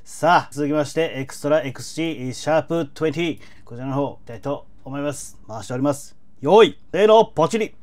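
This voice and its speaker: A man's voice: a little high, fast, darkish tone. A man in his forties hosting a reaction video, exclamatory and playfully formal. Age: 40s. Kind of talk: reaction commentary.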